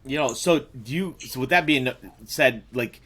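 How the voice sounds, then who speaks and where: A man hosting a podcast, asking loose, relaxed questions full of fillers. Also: medium pitch, measured pace, breathy edge. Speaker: podcast host. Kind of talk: casual interview questions.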